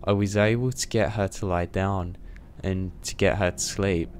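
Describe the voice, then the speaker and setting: Deep-voiced man, horror story narrator, steady read, low faint hiss. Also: moderate pace. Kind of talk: scripted first-person horror story narration.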